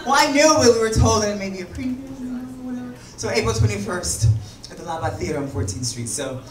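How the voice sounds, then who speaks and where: A man's voice: high voice, animated, brisk. Campy drag queen guy bantering from stage, voice swooping around, roomy far off recording. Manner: sassy, campy, playful. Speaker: drag performer hosting and closing a live show. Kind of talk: live stage banter with audience. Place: small dark bar with stage, indoors. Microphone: handheld stage mic through speakers, filmed from audience. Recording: roomy, distant camera audio, loud crowd noise.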